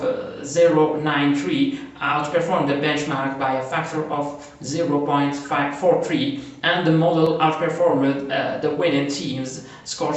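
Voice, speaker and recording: Man presenting in an echoey room. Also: on the high side. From Morocco. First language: Arabic. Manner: halting, frequent "uh" fillers and self-corrections. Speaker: conference presenter. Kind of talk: reading a prepared research presentation. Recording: very echoey, distant mic.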